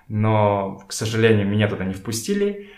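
A young man from Kazakhstan with a fairly low voice chats as a guest in an echoey room at home. He tells his stories informally, with slangy words, working out his thoughts as he goes. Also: big pitch swings, moderate pace, a little mellow. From Kokshetau, Kazakhstan. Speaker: podcast guest, photographer and art director. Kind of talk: casual conversational storytelling. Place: indoors in a home.

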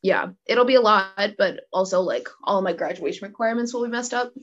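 A teenage girl guest on a podcast, chatting in a relaxed, easygoing way. Her pitch sits in a normal range. The audio sounds a little dull and lo-fi. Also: brisk. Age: teens. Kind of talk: casual chat.

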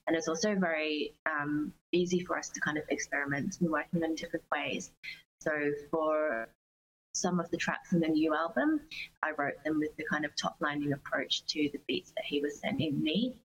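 A woman giving considered, measured answers in a conversational interview as a singer-songwriter guest. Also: low voice, a little hiss or hum, poor audio. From Australia.

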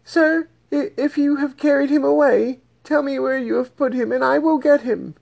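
Man putting on a high falsetto grieving woman. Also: fairly even intonation, moderate pace, gravelly, darkish tone. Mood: pleading. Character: Mary Magdalene, grieving, tearful young woman disciple. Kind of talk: dramatised Bible reading.

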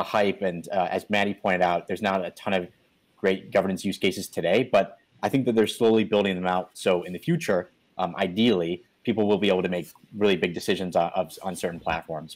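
A man explaining his view as a panelist in a casual discussion, hedging his phrases as he goes. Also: deep voice.

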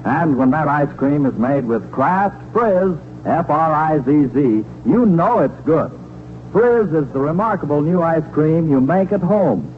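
High-voiced American 1940s radio announcer man. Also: expressive, unhurried, slight background noise. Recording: dull, lo-fi 1940s radio broadcast.

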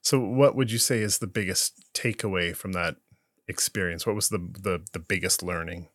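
A man's voice: low-pitched, moderate pace, a bit bright, no echo, studio quality. A man hosting a podcast, asking interview questions and reacting with short encouraging words. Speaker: podcast host.

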